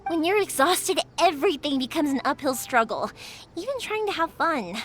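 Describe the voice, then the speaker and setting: An actress playing a cheerful, energetic young woman who makes fireworks, talkative and quick to laugh in a video game. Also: high-pitched. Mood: gentle. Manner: bubbly, talkative, laughs often. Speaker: game character. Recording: game audio with music behind.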